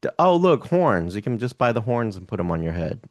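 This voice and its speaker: A man's voice: fairly low pitch, big pitch swings, brisk, dry recording, studio quality. A man co-hosting a casual podcast, chatting, joking and reading prices aloud as he browses online shops. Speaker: podcast co-host.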